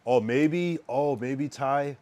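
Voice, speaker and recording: A man's voice: mid-range voice, a little mellow. A young man with a strained, worn voice, chatting casually on a live stream and rambling with lots of restarts. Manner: rambling, halting, many restarts. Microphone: streaming microphone.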